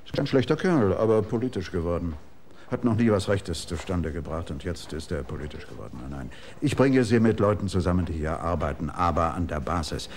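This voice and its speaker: Casting: aufdringlicher Regieassistent, sprunghafte Melodie, eher tiefe Lage. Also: male voice, low-pitched, brisk, slight background noise. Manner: pushy, excitable, name-dropping. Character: eager talkative male assistant director, ingratiating. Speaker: would-be assistant director.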